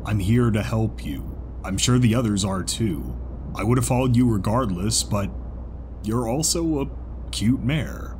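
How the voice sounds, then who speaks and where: A man plays a gentle young zebra stallion. His voice is on the low side and very slow, halting and bashful, as acted for an audio drama. A faint noise sits behind him. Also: expressive. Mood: bashful, sincere.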